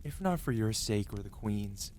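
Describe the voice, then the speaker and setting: A man's voice: fairly low pitch, expressive, moderate pace, darkish tone, natural voice. Man acting a stern scolding dragon, noisy recording. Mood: angry, pleading. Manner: stern, emotional, dramatic scolding. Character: tsundere male dragon mount, angry, scolding yet protective of rider. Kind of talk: fantasy ASMR roleplay monologue.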